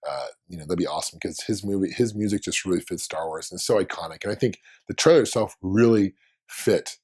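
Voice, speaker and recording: A man with a hoarse voice from being under the weather, hosting his own fan video and thinking aloud in a rambling, casual way with many restarts. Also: low voice, quick pace, darkish tone.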